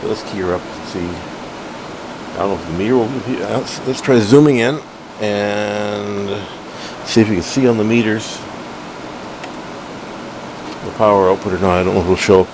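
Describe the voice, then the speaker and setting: A middle-aged man with a fairly low voice gives a casual hobby demo. He talks in a halting, rambling way, often correcting himself. A clear background hum runs under his voice in a room at home. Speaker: hobbyist collector reviewing a radio. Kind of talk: casual unscripted product demo.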